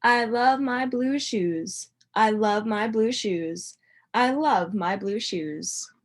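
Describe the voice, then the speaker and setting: Female primary teacher doing an interactive storybook read-aloud; unhurried, slightly husky, expressive, with dramatic emphasis for young listeners. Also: medium pitch. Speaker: teacher reading a picture book aloud to young children. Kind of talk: interactive children's read-aloud, asking questions.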